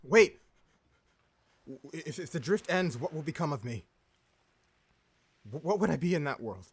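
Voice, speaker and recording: An actor voicing a defeated blind pirate overlord in an audio drama, stammering and pleading in anguish. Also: male voice, a little high, lively intonation, moderate pace, breathy edge. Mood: fearful, resigned. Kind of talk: dramatic audio roleplay acting.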